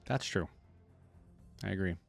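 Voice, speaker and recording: A man's voice: sits low, measured pace, gravelly, soft background music. A man giving casual reaction commentary as a host, tossing in wry, teasing asides.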